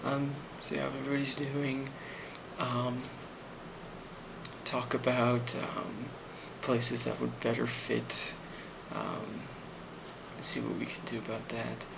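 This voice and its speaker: A man doing an ASMR role play as a company boss, speaking softly and often near a whisper, in a halting, improvised way with many ums. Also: mid-range voice, little pitch movement. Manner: soft-spoken, often slipping toward a whisper. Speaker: ASMR role-player playing a company boss giving a performance review. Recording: clearly audible background noise.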